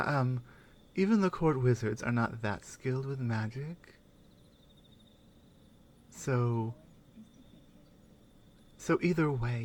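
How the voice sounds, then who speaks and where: A woman playing a strong, wry, skeptical Nordic warrior companion in a soft, intimate ASMR roleplay, musing with pauses and murmured asides. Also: very deep voice, a little hiss or hum, full character voice. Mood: musing, suspicious.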